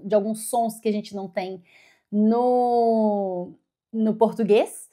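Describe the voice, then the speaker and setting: A woman's voice: fairly high pitch, darkish tone. A woman from Brazil giving a casual vlog update, chatty, with many fillers and self-corrections. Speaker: vlogger.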